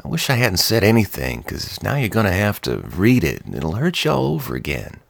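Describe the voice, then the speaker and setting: A man reading an audiobook, voicing a nervous, eager-to-please woman in her mid-thirties with a soft, anxious manner. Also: lowish voice, wildly swooping pitch, brisk, vocal fry, no room sound, voice pushed up, full character voice. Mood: pleading. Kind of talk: audiobook character voice.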